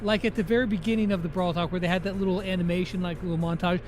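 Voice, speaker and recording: A young man hosts a laid-out casual gaming chat. His voice sits high, and he speaks with slangy enthusiasm over background music. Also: steady tone, racing. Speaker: interviewer and gaming host. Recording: music playing behind the voice.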